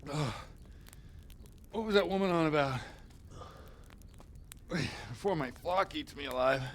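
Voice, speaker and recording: An actor playing a hungover, scheming village priest in a video game, groaning and coarse-mouthed in a dramatic way. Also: male voice, a little high, animated, slow pace, a little hiss or hum. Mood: panicked, suffering. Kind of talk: video game cutscene acting.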